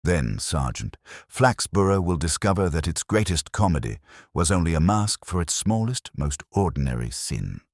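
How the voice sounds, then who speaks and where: A man voicing a mild, philosophical police inspector who speaks in measured, cryptic phrases in a detective story. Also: sits low, big pitch swings, no room sound, studio quality. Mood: calm, grave.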